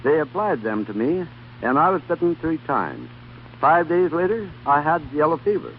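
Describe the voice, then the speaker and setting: A man's voice: on the high side, sing-song, moderate pace. A man recounting his experience as a radio guest, sincere and solemn. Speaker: interview guest, former volunteer. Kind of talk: earnest recounting on 1930s radio. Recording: tinny archival radio recording with hum.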